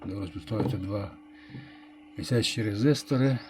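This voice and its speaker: Low-pitched man thinking aloud. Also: a little mellow, a little hiss or hum. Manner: halting, thinking aloud, muttering remarks to himself. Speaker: channel host, radio repairer. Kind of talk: casual hands-on review of a vintage radio.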